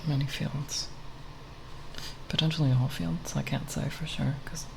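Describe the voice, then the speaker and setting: A young woman talking softly in a quiet, unscripted ASMR ramble, hesitant and self-deprecating, sometimes breathy. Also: low-pitched, a little slow. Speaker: ASMR video creator. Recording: steady background noise with tapping sounds. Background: plastic bottle tapping sounds.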